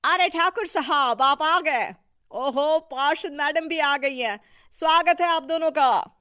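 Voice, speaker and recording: A woman voicing a deferential, flattering townsperson in a cartoon story, with an effusive, fawning manner. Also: high voice, takes it slowly, no echo, studio quality, voice pushed up, full character voice. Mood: fawning welcome. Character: deferential, flattering townsfolk seeking the politician's favour. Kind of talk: scripted cartoon story dialogue.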